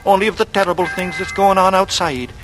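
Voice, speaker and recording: A man's voice: high voice, steady tone, fairly quick, music in the background, lightly acted. A man playing a glib, brooding young drifter with a murderous streak, in theatrical, dreamy 1940s radio thriller style. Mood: brooding, rapt. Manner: theatrical speechifying, rambling and dreamy. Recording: noisy vintage radio drama recording.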